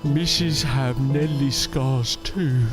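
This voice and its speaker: An actor playing a big, gentle, simple-minded man who talks haltingly in broken, childlike sentences in an audio drama. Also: mid-range voice, over music, close to an everyday voice. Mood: earnest, protective. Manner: simple broken third-person speech, halting, stammering.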